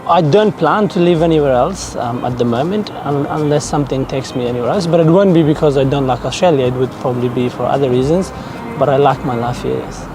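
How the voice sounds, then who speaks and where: A man's voice: medium pitch, brisk, hardly any pauses, noisy background. A man, giving a documentary interview outdoors in a park, hesitant with many restarts. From Afghanistan. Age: 20s. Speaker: interviewee, business student.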